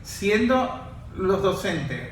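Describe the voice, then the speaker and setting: A man's voice: high-pitched, big pitch swings, drawn-out words, slight background noise. A man hosting a casual panel talk, reflecting and building his points step by step. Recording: echoey room, far from mic.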